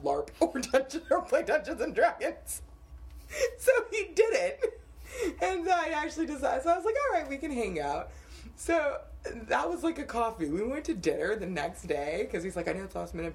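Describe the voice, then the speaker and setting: A young woman co-hosting a podcast, telling dating stories in a casual, animated way and laughing often. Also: medium pitch. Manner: animated storytelling with frequent laughter. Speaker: podcast co-host. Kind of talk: casual chat.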